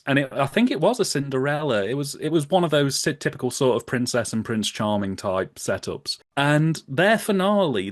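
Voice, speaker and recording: A man in casual conversational commentary, telling anecdotes in an amused, animated way. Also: on the high side, no echo, clean studio sound. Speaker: podcast guest.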